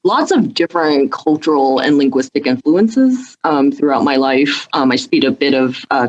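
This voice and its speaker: A woman in her thirties who grew up speaking Cantonese answers interview questions. Her voice sits low, and she speaks in a measured way with frequent ums. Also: highs cut off. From southern China, raised in Hawaii. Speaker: state health official, guest.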